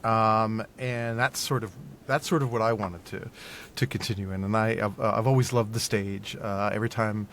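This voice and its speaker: A middle-aged man in casual interview chat as a podcast guest, speaking haltingly and repeating his words. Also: steady tone, fairly bright tone. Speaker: podcast guest, voice actor and audio engineer. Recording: faint background noise and low rumble.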